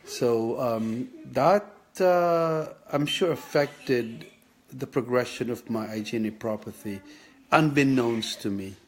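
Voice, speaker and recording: This is a man, sharing memories in an unhurried, reflective way. His voice sits at an ordinary middle pitch. He talks slowly, halting often and circling back to finish his thoughts. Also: slight background noise. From Philippines. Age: 50s. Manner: halting, thoughtful, many pauses and restarts. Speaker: vlogger sharing his kidney story. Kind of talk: reflective personal memoir.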